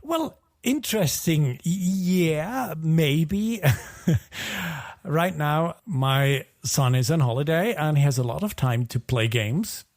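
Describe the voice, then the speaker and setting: A man's voice: fairly high pitch, expressive, slow pace, darkish tone. A man co-hosting a podcast in casual chat, with an easygoing, somewhat hesitant way of talking. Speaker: podcast co-host.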